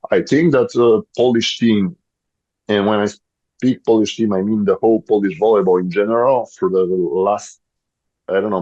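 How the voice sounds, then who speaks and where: A low, dark-toned man in his thirties with a Bulgarian accent speaks English carefully, stopping often to find the right word. The sound is dry and slightly dull, as from a modest recording setup. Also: dry recording. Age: 30s. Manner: searches for English words, many pauses. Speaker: guest, volleyball player. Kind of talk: podcast interview.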